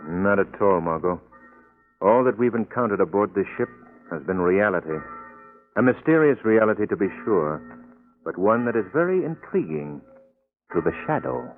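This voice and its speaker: An actor playing a confident, coolly determined gentleman sleuth, decisive and urbane, in a 1940s radio drama. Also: fairly low pitch, big pitch swings, normal speed. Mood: intrigued, calm. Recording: tinny 1940s radio recording, music traces.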